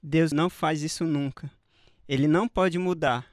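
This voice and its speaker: An actor playing a solemn, assured male evangelist who preaches in a measured way, with a Brazilian accent, in a radio drama. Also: a little high, fairly even intonation, no echo, clean studio sound, close to an everyday voice.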